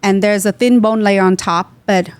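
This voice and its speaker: A woman's voice: mid-range voice, steady tone, normal speed, clean tone, no room sound. Earnest woman from Vietnam, dry close sound. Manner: explanatory, earnest, drops small grammar words. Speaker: guest dentist. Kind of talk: podcast interview.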